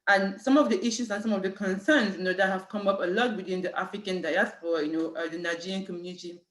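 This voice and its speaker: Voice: mid-range voice, fairly even intonation, fast talker. A speaker from Cameroon with a French accent, a city human rights official giving an informational panel talk and leaning heavily on "you know" fillers and "right?" tags. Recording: echoey room.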